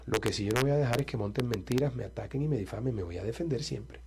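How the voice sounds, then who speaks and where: A man's voice: mid-range voice, fast, gravelly, darkish tone. A man with a Colombian Caribbean coast accent, a presidential candidate answering interview questions in a confident, combative and emphatic way.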